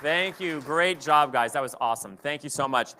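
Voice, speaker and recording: A man hosting a live theater event, speaking off the cuff in halting phrases. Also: on the high side, bright, forceful tone, some chatter behind, slight background noise. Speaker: event host. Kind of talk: live stage hosting, unscripted.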